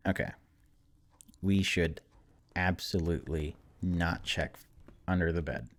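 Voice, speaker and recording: Low-voiced man reading through a game casually. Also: slow pace. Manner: informal, reacts aloud between read passages. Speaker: gaming video host. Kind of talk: casual let's play, reading game text aloud with commentary.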